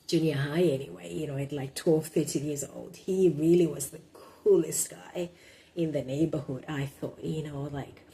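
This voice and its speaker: A woman with a Zimbabwean accent whose first language is Shona, speaking in a low, mellow voice. She reads her own blog posts aloud in a casual vlog, hesitant and reflective, full of ums and small restarts. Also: poor audio.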